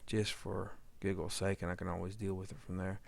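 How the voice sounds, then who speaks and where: A man giving a casual, unscripted editing demo as a sound editor, halting and rambling as he thinks aloud. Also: deep voice, little pitch movement, vocal fry. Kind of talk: casual unscripted software tutorial narration.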